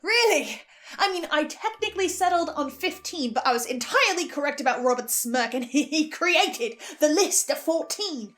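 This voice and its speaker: A woman giving a casual, animated recap and reaction, often interrupting herself with asides. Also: high voice, moderate pace, few pauses. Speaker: host of a podcast reaction show.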